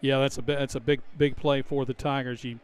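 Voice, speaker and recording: A man's voice: mid-range voice, little pitch movement, fairly quick. A man giving conversational color commentary during a live football game, outdoors at a stadium.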